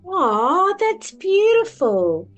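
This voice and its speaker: A woman's voice: extremely high pitch, huge pitch swings, extremely slow, highs cut off, faint music behind, pitched above the performer's own voice, heavily acted. A woman reading a children's storybook, voicing a sympathetic but doubtful male lobster who exclaims. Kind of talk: children's storybook read-aloud.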